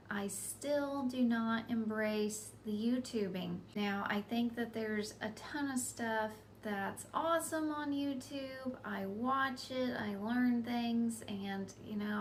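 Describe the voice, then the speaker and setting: A middle-aged woman with a fairly monotone voice talks slowly to her camera, a little high for a woman. Her humor is dry and sarcastic, and she often stops and restarts her sentences. A faint noise sits under the recording. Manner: dry, sarcastic, self-deprecating, with halting restarts. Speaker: hobby vlogger. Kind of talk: casual talk to camera. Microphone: camera mic, talking to camera.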